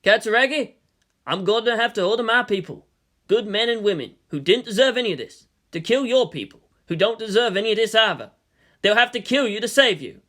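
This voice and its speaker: A stern, weary statesman in an audio story, voiced by a woman reader. He speaks gravely and emphatically in a forceful tone, with lively rises and falls. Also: a little high, a little fast. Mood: grave, resolute. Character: weary, stern male president pleading for peace.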